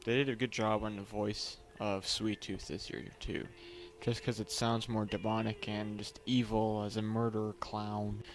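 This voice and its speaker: A man giving casual, unscripted video game commentary, rambling and halting as he goes, as a reviewer. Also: on the low side, level tone. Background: game cutscene audio and music.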